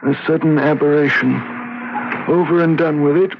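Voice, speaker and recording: An actor playing a tormented, weary man who claims to be possessed, speaking haltingly in a 1970s radio drama. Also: a little high, fairly even intonation, close to an everyday voice. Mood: guarded, evasive. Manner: halting, weary, pausing mid-sentence. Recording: muffled noisy vintage radio broadcast.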